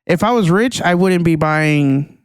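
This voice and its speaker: Man, high pitched, smooth clear voice, excitable slangy podcast chatter, young adult. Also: unhurried, dry recording, studio quality. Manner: excitable, slangy, says "bro" a lot. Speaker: podcast co-host. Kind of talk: casual chat.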